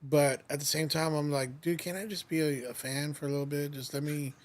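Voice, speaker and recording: A man's voice: on the high side, fairly even intonation, brisk. A man hosting a podcast, telling stories in casual, rambling chat full of restarts. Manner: rambling storyteller, many restarts and "like". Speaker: podcast host.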